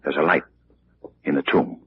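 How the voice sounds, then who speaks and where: An actor playing a shrewd, commanding detective with clipped urgency in a 1940s radio mystery style. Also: male voice, a bass voice, steady tone, moderate pace. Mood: urgent. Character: composed, commanding amateur detective, shrewd man. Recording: dull old radio recording, faint noise.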